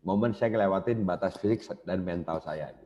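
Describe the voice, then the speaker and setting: Low-voiced man giving a business seminar. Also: normal speed, warm tone. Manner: casual, addresses audience directly. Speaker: business speaker. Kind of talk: motivational seminar talk. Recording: dull lo-fi cassette recording.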